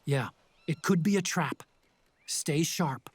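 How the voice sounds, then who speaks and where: An actor playing a mild, earnest tech expert in a video game, explaining things in an American accent. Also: male voice, a little high, expressive, takes it slowly, background noise. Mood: earnest, advising. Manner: earnest, explanatory, slightly apologetic. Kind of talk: video game cutscene acting.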